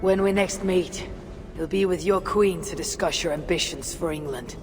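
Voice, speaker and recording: An actor playing a calm, determined Viking warrior in a video game cutscene. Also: male voice, high-pitched, moderate pace. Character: steady male Viking warrior, calm and resolute. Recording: game audio with reverb and music.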